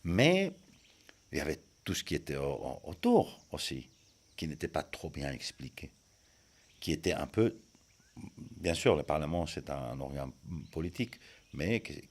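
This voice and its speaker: Really low voiced guy, not a native speaker, lots of umms and restarts, feeling his way through answers. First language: not French. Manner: hesitant, many fillers and restarts. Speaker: guest, communication expert. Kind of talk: conversational interview answers.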